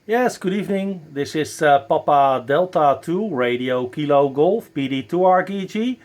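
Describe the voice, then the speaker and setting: A man with a Dutch accent working as an amateur radio operator, enthusiastic but halting, spelling out callsigns at a close microphone. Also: fairly high pitch, expressive, darkish tone. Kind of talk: ham radio contact, spelling callsigns phonetically. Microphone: close broadcast dynamic microphone.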